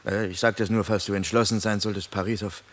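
An actor playing a sceptical, grumbling escaped soldier in a German radio play, muttering hesitant, sardonic remarks. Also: male voice, fairly low pitch, flat delivery, quick pace, lightly acted. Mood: hesitant, needling.

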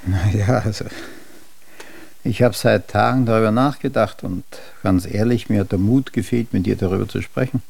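A man's voice: lowish voice, moderate pace, creaky, a little mellow, no echo. A man acting an earnest, tentative suitor and family friend in a German radio drama, speaking hesitantly.

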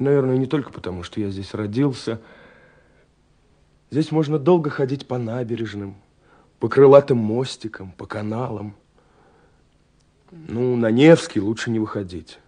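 An actor playing a lovestruck young man who narrates his letters in a lyrical, wistful, intimate way, with pauses, in a romantic radio drama. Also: mid-range voice, lively intonation, mellow. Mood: dreamy, animated. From Russia. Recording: 1970s radio recording with music.

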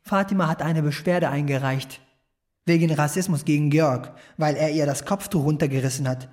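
A man's voice: fairly high pitch, steady tone, normal speed, a little mellow, natural voice. A young man playing a bitter, provocative teenage schoolboy in a German radio drama, curt and confrontational.

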